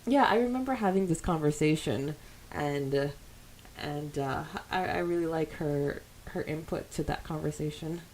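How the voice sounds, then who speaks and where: Lady podcast host, kinda low soft-edged mellow voice, casual fan chat. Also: moderate pace, a little hiss or hum, rough recording. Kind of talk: casual fan chat, reading out listener comments.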